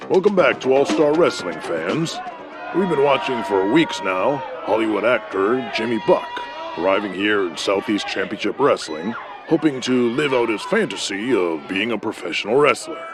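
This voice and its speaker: Guy playing tv wrestling announcer, lowish voice, clear music behind him. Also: lively intonation, moderate pace, close to an everyday voice. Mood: announcing. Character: male 1980s TV wrestling announcer. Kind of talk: sports broadcast commentary in audio drama.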